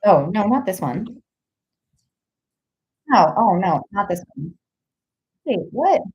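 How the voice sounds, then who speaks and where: A woman's voice: mid-range voice, big pitch swings, slow pace, slightly rough, highs cut off. A woman doing a live tarot reading in casual chat, rambling with many fillers and giggles. Her nose is stuffy. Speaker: tarot reader.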